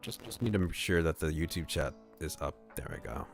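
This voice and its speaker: Deep-voiced man chatting on a livestream. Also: expressive, brisk, vocal fry, soft background music. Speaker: streamer reading a visual novel. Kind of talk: casual livestream chat and reading aloud.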